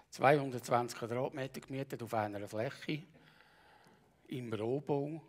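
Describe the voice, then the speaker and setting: A middle-aged or older man with a Bernese Swiss German accent, giving a halting personal account on stage and often clearing his throat. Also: medium pitch. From Bernese Oberland, Switzerland. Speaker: practitioner giving a talk.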